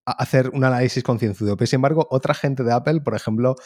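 A man's voice: medium pitch, quick pace, a little mellow. Opinionated man, stammering. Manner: opinionated, stammers on repeated words. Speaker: podcast guest. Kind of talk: casual tech podcast chat.

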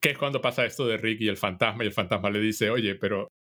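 A man's voice: lowish voice, quick pace, bright, forceful tone. A man co-hosting a podcast, recapping a cartoon episode in casual chat. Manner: recaps episode plot, quotes lines with little acting. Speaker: podcast co-host.

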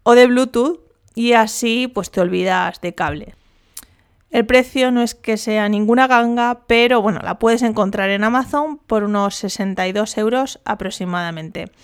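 A woman's voice: a little high, normal speed, clear, resonant tone. A woman hosting a casual solo podcast, chatty and rambling with jokey asides. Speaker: podcast host.

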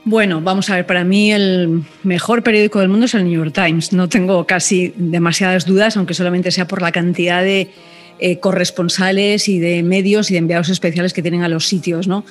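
A middle-aged woman from Spain, a TV news correspondent, talking in a relaxed interview and thinking aloud as she answers. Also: lowish voice, fairly quick, soft background music. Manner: thoughtful, reflective, many restarts and fillers.

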